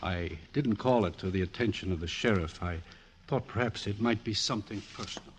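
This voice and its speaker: An actor playing a discreet, formal doctor with a measured manner, in 1940s radio drama style. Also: male voice, fairly low pitch, slight background noise, close to an everyday voice. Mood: discreet, quiet.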